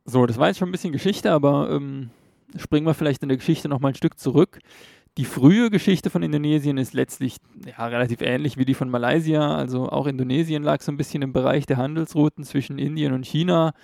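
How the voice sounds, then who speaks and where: A man's voice: fairly high pitch, quick pace, gravelly, a little mellow, no echo, studio quality. A man giving a casual educational talk, explaining loosely with fillers and self-corrections.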